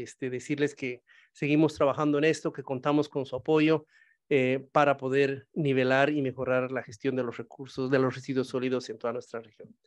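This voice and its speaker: Halting man closing his remarks. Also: medium pitch. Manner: halting, with repeated fillers. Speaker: speaker.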